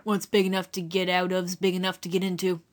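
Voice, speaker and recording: A woman voicing an easygoing working-class man, a travelling photographer, speaking low and quietly. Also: completely flat delivery, fast, close to an everyday voice. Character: adult male stranger, a plain-spoken travelling photographer.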